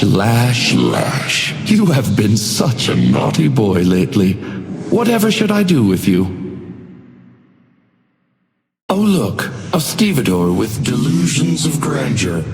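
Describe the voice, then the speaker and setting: A man's voice: mid-range voice, darkish tone. An actor playing a sinister, courteous supernatural hotel doorman in a video game, with a silky, mock-polite, menacing delivery. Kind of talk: theatrical game voice lines. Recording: echoey hall reverb, music behind.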